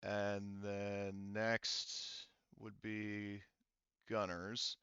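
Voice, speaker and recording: A man's voice: on the low side, monotone, drawn-out words, pushed and bright, smooth, no echo, lo-fi sound. A man acting as game master, casually narrating a tabletop game and muttering to himself as he checks rules and counts.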